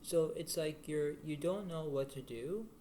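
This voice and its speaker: A man giving a casual tarot reading to the viewer in a halting, repetitive, rambling way. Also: dark tone. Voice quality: low-volume voice. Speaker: tarot card reader. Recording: noisy homemade recording with room ambience.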